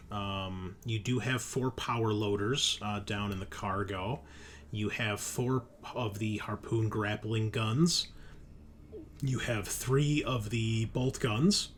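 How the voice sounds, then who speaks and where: Male game master, low voice, halting improvised narration, some noise behind him. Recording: noticeable background noise.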